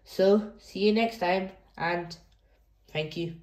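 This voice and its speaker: A woman's voice: mid-range voice, slow, darkish tone, reverberant. A woman hosting a children's read-aloud, reading a picture book expressively for young listeners.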